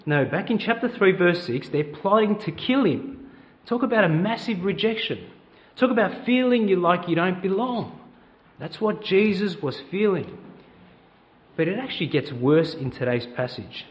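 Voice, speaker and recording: High-voiced Australian pastor preaching. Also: male voice, lively intonation, moderate pace, lo-fi sound. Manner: conversational, asks the congregation direct questions. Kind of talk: sermon. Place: church.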